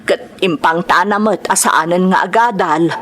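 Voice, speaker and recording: A woman's voice: on the low side, unhurried, a bit bright. A woman playing a worried young woman in an audio drama, with an Ilocano accent, telling her family's story. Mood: troubled. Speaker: actor in a radio-style drama. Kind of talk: dramatized narration and dialogue. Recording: clear background noise.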